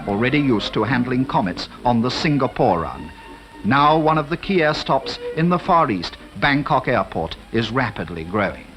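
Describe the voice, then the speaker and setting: A man's voice: mid-range voice, low-quality recording. A man with a British accent giving formal, scripted 1950s newsreel narration. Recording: archive newsreel voice-over with music behind.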